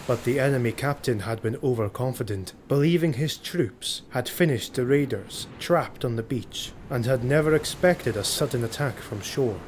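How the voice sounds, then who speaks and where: Male narrator for dark fantasy audiobook: medium pitch, dramatic scripted read, light atmospheric effects underneath. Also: a little hiss or hum. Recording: faint ambient sound effects behind voice. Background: ambience and sound effects.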